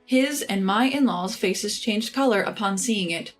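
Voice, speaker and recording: A woman narrating a scripted first-person revenge story in an even, measured reading. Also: mid-range voice, soft background music.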